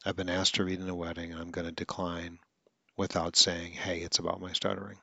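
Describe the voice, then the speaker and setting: A man's voice: low-pitched, monotone, fairly quick, vocal fry, bright, forceful tone, no echo. A man who stutters now and then, hosting a podcast and coaching listeners in an earnest, instructional monologue.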